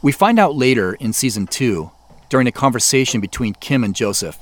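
A middle-aged man with an American accent, giving measured, explanatory scripted commentary as the creator of a show. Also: mid-range voice, lively intonation, slight background noise. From US Pacific Northwest. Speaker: audio drama creator and host. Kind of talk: scripted behind-the-scenes commentary.

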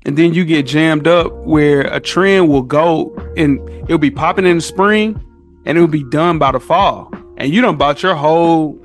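An American man chatting casually as a podcast guest, in slangy talk full of "man", "bro" and "you know". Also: fairly high pitch. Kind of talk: casual chat.

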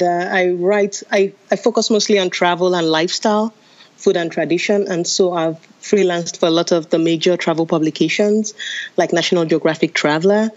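A woman from Nigeria speaks as an interview guest in a low-pitched voice. Her audio sounds dull and lo-fi, and she answers in a friendly, chatty manner. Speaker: travel writer and photographer guest.

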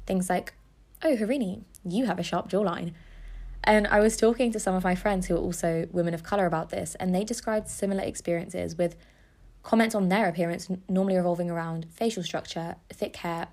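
A woman's voice: lowish voice, creaky, darkish tone. A young woman hosting a podcast, reading a scripted personal essay in an earnest tone. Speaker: podcast host.

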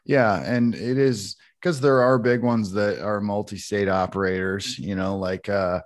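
A man's voice: fairly low pitch, steady tone, unhurried. A man co-hosting a casual podcast, talking loosely and laughing often while reading a sponsor spot. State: high on cannabis. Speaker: podcast co-host. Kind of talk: casual chat with sponsor read.

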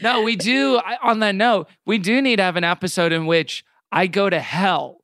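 A man with a fairly high, clean and smooth voice co-hosts a casual comedy podcast. He talks in a joking, sarcastic way. The sound is very dry, with no room around it. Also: moderate pace, fairly bright tone, no room sound. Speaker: podcast co-host.

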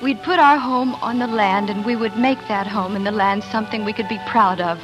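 Woman to play tender, earnest pioneer wife narrating 1940s radio drama; mid-pitched voice, music underneath. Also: brisk, muffled recording, close to an everyday voice. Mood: proud, reflective.

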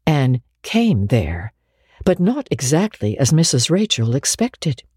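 A woman narrating classic literature as a dramatic audiobook, in a theatrical, deliberate and expressive way. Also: extremely low pitch, husky, no room sound, clean studio sound.

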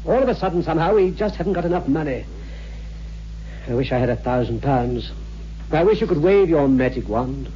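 An actor playing a worried, testy and defeated husband in a 1960s radio horror drama. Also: male voice, a little high, expressive, a little fast, dark tone, close to an everyday voice. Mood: frustrated. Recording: muffled old radio recording, faint hum.

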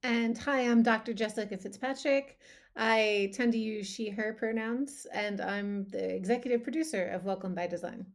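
Higher-voiced woman on a podcast. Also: normal speed. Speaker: podcast executive producer. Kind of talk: self-introduction on a podcast. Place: studio.

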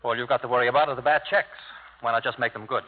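A cynical, insolent lawyer acted by a man with an American accent, firing off clipped wisecracks very quickly. It is 1950s radio acting, heard through a tinny broadcast with long reverb. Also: mid-range voice, steady tone, close to an everyday voice. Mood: cool, sardonic. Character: cynical, cocky hard-boiled male lawyer.

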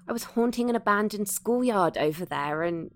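A woman playing an eager, flustered, soft-hearted ghost in a conversational audio drama. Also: mid-range voice, animated, normal speed, natural voice. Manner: hesitant, conversational, easily sidetracked.

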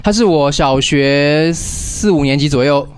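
A young man with a Taiwanese accent hosting a casual radio chat, halting and joking about himself. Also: a little high, smooth. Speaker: radio show host and comic artist.

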